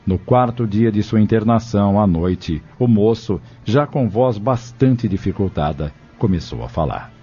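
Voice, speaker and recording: A dull recording with soft music behind a Brazilian man narrating an audio novel. His voice is dark and lowish, and he tells the story in a formal, literary way. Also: animated, a little slow, few pauses, slightly rough.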